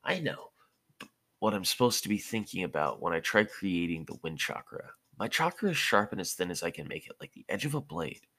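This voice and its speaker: A man's voice: low voice, very animated, brisk, a bit bright, husky, exaggerated voice. A man voicing an eager, determined boy ninja in an amateur story reading. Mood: earnest.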